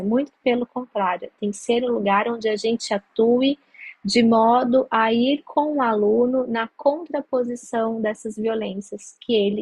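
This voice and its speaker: A Brazilian woman lectures at a teachers' meeting, her voice slightly husky. She explains patiently, with plenty of fillers and restarts. The recording is dry and close, with little room sound. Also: on the high side, darkish tone, dry recording, poor audio. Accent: Brazilian Portuguese. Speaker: lecturer on teaching Portuguese to migrants. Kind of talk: academic talk at a meeting.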